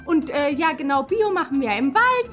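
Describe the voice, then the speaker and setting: A woman's voice: high voice, very animated, moderate pace, a bit bright, soft background music. A woman playing a gushing, esoteric job applicant in a comedy sketch, rambling airily and drawing out her words. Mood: eager, dreamy. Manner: effusive, rambling, airy, draws out words. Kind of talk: improvised comedy sketch acting.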